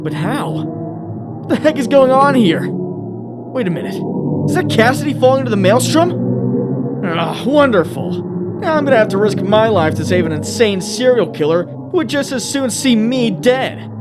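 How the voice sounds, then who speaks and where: Guy doing young smartass superhero for a comic dub, dramatic and wry, super animated, music behind. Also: high-pitched, measured pace. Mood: bewildered. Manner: sardonic, quippy, theatrical inner monologue. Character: young wisecracking superhero, self-pitying yet dauntless. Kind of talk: comic dub character acting.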